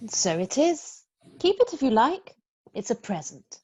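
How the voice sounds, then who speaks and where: A woman playing a prim, brisk, kindly nanny in an amateur script read-through. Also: sits high, big pitch swings, warm tone, slightly rough, full character voice.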